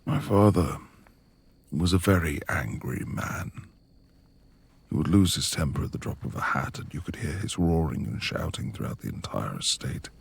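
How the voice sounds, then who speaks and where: A reclusive lord of the manor, acted by a man, speaks in an extremely low rumble thick with gravel. His hushed, husky delivery is formal and halting, a brooding figure with tenderness under the gruffness, made for intimate romance audio roleplay. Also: sing-song, full character voice. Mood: somber, confessing.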